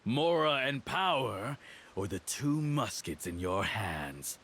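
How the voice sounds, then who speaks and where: An actor playing a smug, cruel aristocratic baron in a video game, mocking his foes in a theatrical, drawn-out way. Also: mid-range voice, sing-song, fairly bright tone, a little hiss or hum, exaggerated voice. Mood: menacing. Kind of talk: dramatic video game acting.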